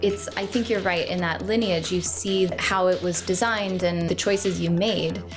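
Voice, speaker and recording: A soprano interviewing a composer in casual, thoughtful chat with frequent ums. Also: female voice, on the low side, fairly even intonation, normal speed, over music.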